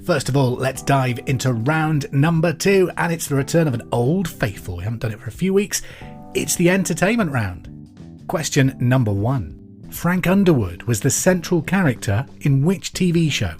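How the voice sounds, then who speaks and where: A man presenting a podcast pub quiz in a scripted, clear and deliberate way. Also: medium pitch, animated, darkish tone, faint music behind. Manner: repeats questions clearly and deliberately.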